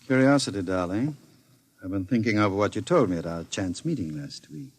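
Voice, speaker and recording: An actor playing a suave, menacing gangster in a 1950s radio drama, sardonic and mockingly courteous. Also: male voice, fairly low pitch, lively intonation, a little fast, noisy background, lightly acted.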